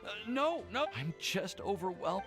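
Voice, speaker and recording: A bashful, smitten young man from a video game. He stammers and hesitates, drawing words out with big swings in pitch. Soft music plays behind him. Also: very animated, measured pace, full character voice. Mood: flustered, smitten. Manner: stammering, shy, breathless with feeling. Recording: game dialogue over background music.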